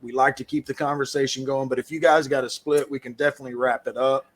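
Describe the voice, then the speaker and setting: A man's voice: medium pitch, flat delivery, quick pace. A middle-aged man, hosting an interview in an easy-going, chatty way. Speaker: podcast host. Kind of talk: casual interview chat.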